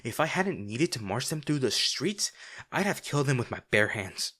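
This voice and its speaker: A man playing a devoted, remorseful bodyguard to a noble lady in a fantasy audio drama, speaking in a formal, halting, earnest way. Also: mid-range voice, big pitch swings, sharp tone. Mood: impassioned, protective.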